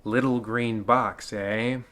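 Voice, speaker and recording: An actor playing a theatrical, mock-ominous host of an old-time horror radio show, delivering ghoulish puns in a deliberate manner. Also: male voice, fairly low pitch, steady tone, fairly bright tone. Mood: wry, sly.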